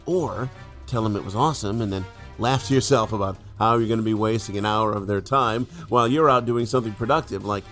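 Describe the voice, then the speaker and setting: A man's voice: lowish voice, fairly quick, fairly bright tone. A man reading a scripted podcast outro as an announcer, in a tongue-in-cheek comedic style. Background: faint music.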